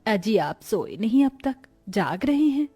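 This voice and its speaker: Woman as a husky, anxious family woman. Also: fairly high pitch, big pitch swings, a little slow, warm tone, faint music behind, heavily acted. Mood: worried. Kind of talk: cartoon story voice acting.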